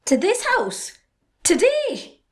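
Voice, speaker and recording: A woman's voice: very high-pitched, huge pitch swings, slow pace, heavily acted. A young woman with an Irish accent playing a forthright, defiant daughter in an amateur drama. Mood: surprised, questioning. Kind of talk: amateur stage drama acting.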